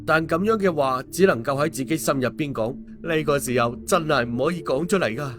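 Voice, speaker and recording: A man narrates an audiobook in speech, his voice set a little high. He tells the story as a scripted reading, with faint music underneath. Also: a little fast.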